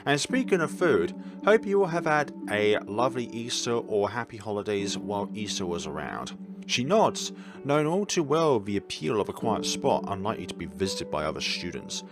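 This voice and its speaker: A man's voice: lowish voice, sing-song, normal speed, over music. A man narrating a visual novel as a gaming host, in a casual let's-play style with asides of his own.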